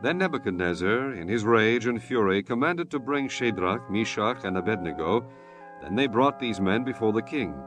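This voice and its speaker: Male narrator, low voice, solemn and measured scripture reading over faint music. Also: expressive, a bit bright. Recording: music bed behind the voice.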